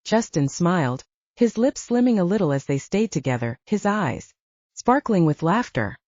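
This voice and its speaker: Female audiobook narrator, dark warm tone, very dry close sound, dramatic and expressive delivery. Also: fairly low pitch, normal speed, dry recording, muffled recording. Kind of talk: scripted fiction narration.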